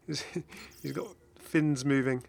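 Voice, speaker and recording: A man's voice: medium pitch, vocal fry, a little hiss or hum. A man giving casual, off-the-cuff game commentary as a video host, chatty and rambling with enthusiastic asides.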